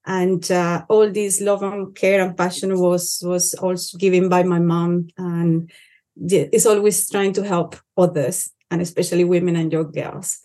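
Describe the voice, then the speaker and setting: A woman's voice: on the low side, level tone, unhurried, warm tone. Earnest Colombian woman on a podcast. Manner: earnest, with frequent restarts. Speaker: conservation organization director. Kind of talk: podcast interview.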